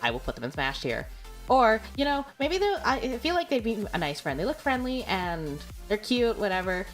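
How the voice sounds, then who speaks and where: A woman's voice: medium pitch, very animated, normal speed, soft background music. A young adult woman streaming, chatting casually and rambling with lots of fillers and giggles. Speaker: VTuber streamer. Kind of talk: casual livestream chat.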